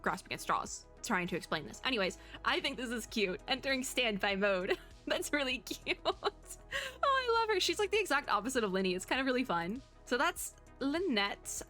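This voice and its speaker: A woman streamer giving casual, chatty reaction commentary on a game, rambling and expressive in an excitable way. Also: high voice, normal speed, faint music behind. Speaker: streamer, VTuber.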